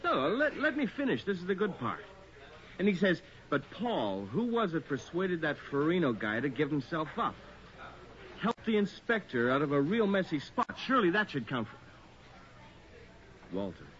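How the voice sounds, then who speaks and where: A man's voice: a little high, very animated, normal speed, fairly bright tone. A man playing a persistent, wry reporter in a radio drama, talking in a needling, conversational way. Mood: wry insistence. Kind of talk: 1980s radio drama acting, one side of a phone call. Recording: muffled, slightly noisy radio recording.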